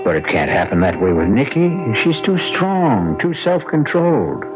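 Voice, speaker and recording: A man's voice: mid-range voice, very animated, normal speed. A man hosting a mystery radio show with dramatic, suspenseful, rhetorical scripted narration. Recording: dull old radio recording. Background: loud dramatic music.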